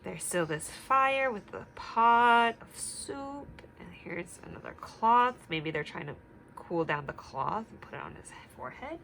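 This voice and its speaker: Expressive, bright-voiced woman reading to children. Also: mid-range voice, measured pace, gravelly, slight background noise. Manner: expressive, simple explanations for young children. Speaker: teacher reading aloud. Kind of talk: children's read-aloud with questions to listeners.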